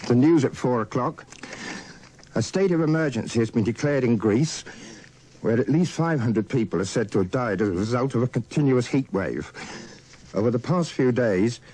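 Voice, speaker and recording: A man's voice: mid-range voice, lively intonation, moderate pace, mellow, rough recording. A man reading a radio news bulletin in a formal style. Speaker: radio newsreader.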